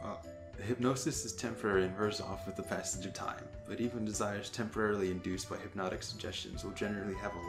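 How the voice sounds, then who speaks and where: Man, lowish voice, comedic read-aloud, jokey banter, roomy echo, music behind. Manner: jokey, often breaking into laughter while reading. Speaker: host reading an encyclopedia aloud and commenting.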